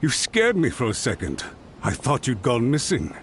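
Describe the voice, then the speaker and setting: An actor playing an anxious, overbearing clan retainer in an anime fantasy game, speaking in an agitated, insistent, suspicious way. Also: male voice, medium pitch, huge pitch swings, moderate pace, slight background noise.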